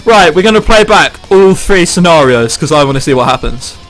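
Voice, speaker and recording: Man, let's play host, irreverent and sweary, very lively pitch, bright forceful tone, music in background. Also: fairly quick, rough recording. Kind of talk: visual novel playthrough commentary, reading aloud. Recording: game music behind the voice.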